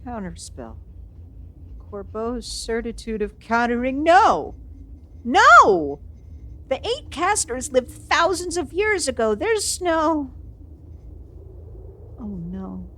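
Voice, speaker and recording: A woman as a wary, very expressive young mage. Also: sits high, slow, long pauses, full character voice. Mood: alarmed disbelief. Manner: questioning, skeptical, excitable. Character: younger academy-trained mage, wary, easily alarmed. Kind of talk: fantasy roleplay audio drama.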